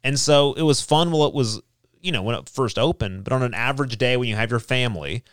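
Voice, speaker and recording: A man chats casually as a podcast host, with a clean, smooth voice and a middle pitch. He talks informally and a bit haltingly, in a very dry space. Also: fairly bright tone, no echo. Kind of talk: casual chat.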